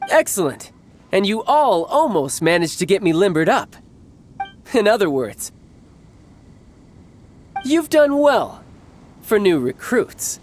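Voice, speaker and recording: An actor playing a cocky, playful young warrior in a video game, smooth and teasing with theatrically formal speech. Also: male voice, high voice, big pitch swings, slow, pauses often, a little mellow, a little hiss or hum, full character voice. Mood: brisk, confident. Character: cocky, playful young male warrior posing as toy salesman.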